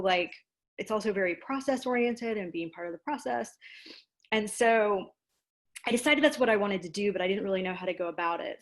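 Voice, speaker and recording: An American woman being interviewed, speaking in a casual, animated storytelling way with self-deprecating asides. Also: medium pitch. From Louisiana, United States. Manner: animated, self-deprecating, acts out quoted exchanges. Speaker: interview guest, theater dramaturg and producer.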